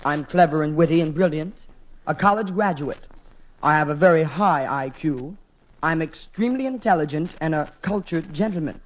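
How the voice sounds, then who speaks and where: Male comic as a lovelorn young man, high voice, pompous, unhappy, old radio sketch. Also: normal speed, close to an everyday voice. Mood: whiny, boastful. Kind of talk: 1940s radio comedy acting. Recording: dull lo-fi 1940s radio recording.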